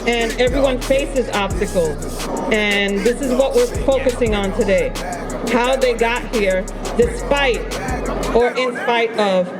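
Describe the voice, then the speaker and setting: A woman's voice: fairly high pitch, measured pace. A woman moderating a panel and addressing a group of students. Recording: loud music and crowd behind.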